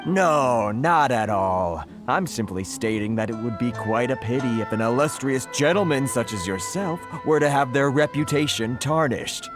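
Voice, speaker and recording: An actor playing a shrewd male merchant in a video game, speaking in a measured, courteous and slyly formal way. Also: medium pitch, animated, over music, natural voice. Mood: smooth, calculating. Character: shrewd, polite male merchant negotiating firmly.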